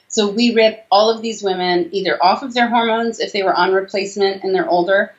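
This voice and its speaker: Low-pitched woman explaining, slightly dull sound. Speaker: doctor and author, interview guest. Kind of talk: conversational interview explanation. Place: small room indoors, video call. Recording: slightly dull video-call audio.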